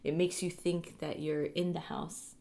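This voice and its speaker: Female co-host, notably low and gravelly, casual chat. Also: level tone, measured pace, mellow. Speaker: podcast co-host.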